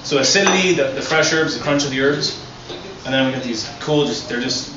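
Chef demonstrating on an echoey patio. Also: male voice, medium pitch, a little fast. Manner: relaxed, enthusiastic explaining with restarts. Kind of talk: cooking demonstration. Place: outdoor restaurant patio. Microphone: far camera. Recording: echoey, distant, dull, noisy outdoor audio.

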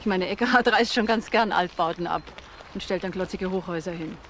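A woman's voice: lowish voice, a little fast, a little hiss or hum, natural voice. An actress playing a frank, forthcoming single mother being questioned in a German crime radio drama, in a conversational way. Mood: frank, explanatory. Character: frank, chatty single mother, office employee witness.

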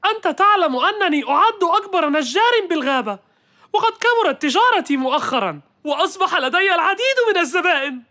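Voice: extremely high pitch, animated, quick pace, pushed and bright, exaggerated voice. A woman voicing a worried male carpenter in a cartoon, who speaks politely and apologetically. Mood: grateful.

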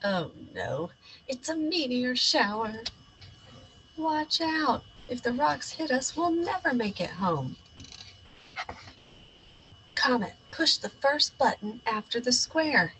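A woman's voice: high-pitched, sing-song, slow, pauses often, a bit bright, a little hiss or hum, pitched above the performer's own voice, full character voice, poor audio. A woman voicing a brave, dramatic boy who plays a space captain in a storybook. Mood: alarmed. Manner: dramatic, exclamatory. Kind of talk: storybook read-aloud character.

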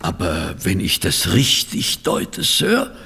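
A man playing a fussy, inquisitive gentleman in a German radio play, with a husky, creaky voice. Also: low-pitched, huge pitch swings, slow pace, exaggerated voice. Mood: probing. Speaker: actor in an audio drama.

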